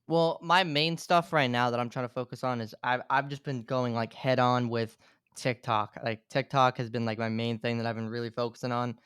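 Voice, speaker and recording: A man in his twenties, a guest on a podcast, talking in a relaxed way with lots of filler words. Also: medium pitch, moderate pace, smooth, no echo, clean studio sound. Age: 20s. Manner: relaxed, fills talk with "like". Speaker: podcast guest, gaming content creator. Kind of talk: casual interview chat.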